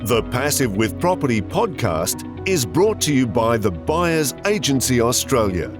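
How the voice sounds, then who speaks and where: A male announcer reads a scripted promo with a lively, swooping melody at a medium pitch. Music plays clearly behind his voice. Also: a little slow. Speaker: podcast intro announcer.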